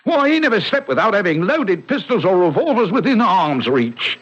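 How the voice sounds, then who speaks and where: An actor playing a deferential, alarmed manservant in an old-time radio drama, with a stammering manner. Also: male voice, high-pitched, big pitch swings, normal speed. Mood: shaken. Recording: muffled vintage radio broadcast.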